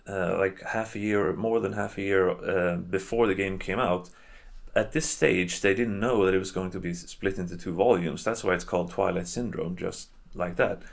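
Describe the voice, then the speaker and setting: Low-pitched, creaky-voiced man, rambling casually. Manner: halting, rambling, hedging delivery. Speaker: video host reviewing games and adaptations. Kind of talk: casual unscripted commentary.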